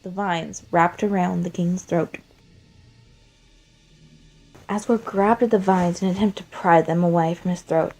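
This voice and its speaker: A woman's voice: fairly low pitch, fairly even intonation, normal speed, darkish tone, rough recording. A woman reading and chatting as an amateur fan fiction writer and narrator. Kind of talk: scripted story narration and casual chat.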